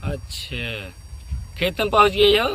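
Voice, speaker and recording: High-pitched Awadhi man, slow and rambling. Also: big pitch swings, very noisy. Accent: Awadhi, eastern Uttar Pradesh. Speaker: comedian doing a phone-call skit. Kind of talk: casual colloquial phone conversation.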